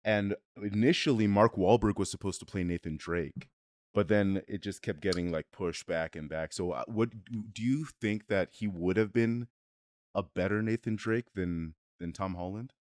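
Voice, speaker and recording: Male co-host, deep pitch, dry recording, conversational and halting, informal review talk. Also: darkish tone, dry recording. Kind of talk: casual film review chat.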